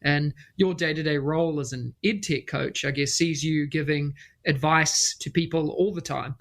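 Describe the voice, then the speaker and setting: A man's voice: fairly high pitch, fairly even intonation, normal speed, a bit bright. A man with a New Zealand accent hosting a podcast, asking questions in an upbeat, encouraging way. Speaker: podcast host. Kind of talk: interview questions.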